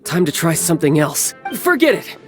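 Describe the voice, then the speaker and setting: A man's voice: fairly high pitch, sing-song, moderate pace, fairly bright tone. An actor playing a young male military commander and doting big brother in a video game, speaking in a grandiose, commanding way with some awkward hesitation. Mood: grand, stern. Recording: music bed behind the voice. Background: background music.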